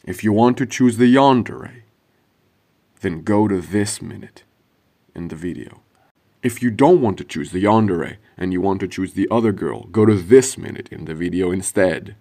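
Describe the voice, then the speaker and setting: A man's voice: deep voice, moderate pace, warm tone. A man narrating scripted instructions directly to viewers as a video narrator.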